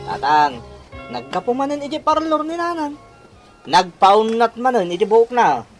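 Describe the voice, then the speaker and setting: A plain-spoken Ilocano son, with a pitch that moves up and down a lot. Music runs under the radio drama dialogue. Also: male voice, big pitch swings, normal speed. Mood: matter-of-fact. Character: plain-spoken grown child of the household.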